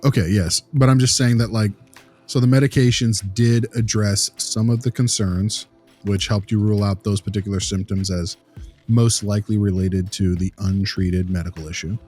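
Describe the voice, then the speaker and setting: Low-voiced man asking probing questions, music behind. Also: steady tone, moderate pace, darkish tone. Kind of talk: conversational case discussion.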